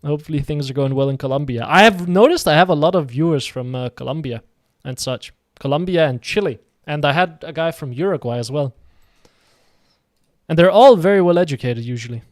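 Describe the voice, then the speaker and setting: Chatty higher-voiced man streaming games. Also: fairly quick, dry recording, clean studio sound. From Denmark. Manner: rambling, chatty, reads and answers chat. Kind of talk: casual live game commentary.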